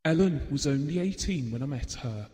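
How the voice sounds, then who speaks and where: Man acting a shy devoted husband, earnest, well spoken, slightly high voice, audio drama with hall reverb. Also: expressive, normal speed, warm tone, lightly acted. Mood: fond, wistful.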